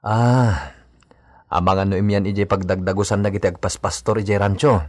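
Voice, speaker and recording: Man acting a low, serious ranch household figure. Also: fairly even intonation, moderate pace, no room sound, natural voice. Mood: questioning. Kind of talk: radio drama acting.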